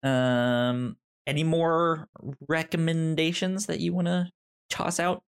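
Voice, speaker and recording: A man's voice: a little high, little pitch movement, takes it slowly, gravelly, smooth. A man hosting a podcast over a remote call, talking casually and often stammering and restarting his words. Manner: stammering, many restarts and ums. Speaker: podcast host. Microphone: remote call mic.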